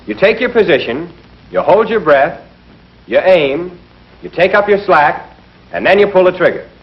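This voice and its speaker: A man's voice: high-pitched, very animated, moderate pace. A man playing an obedient young army trainee, reciting lessons in a scripted 1940s training film. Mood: dutiful. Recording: dull old film soundtrack, slightly distant.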